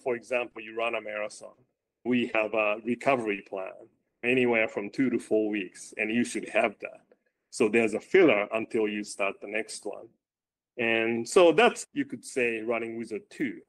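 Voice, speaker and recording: Loud-voiced man, coach being interviewed. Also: on the low side, moderate pace, low-quality recording. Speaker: running coach. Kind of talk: casual interview answers.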